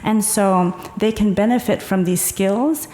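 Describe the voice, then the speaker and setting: A woman giving a conference talk, calmly guiding the audience through a breathing exercise and explaining the ideas behind it. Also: mid-range voice, measured pace. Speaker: conference presenter. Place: conference hall. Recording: echoey conference hall.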